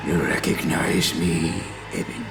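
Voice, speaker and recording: Man voicing a slow, low, grave cowboy ghost. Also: steady tone, creaky, music in the background. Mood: grave, warning. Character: ghost of dead male partner, burdened, grave, warning. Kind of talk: radio play acting.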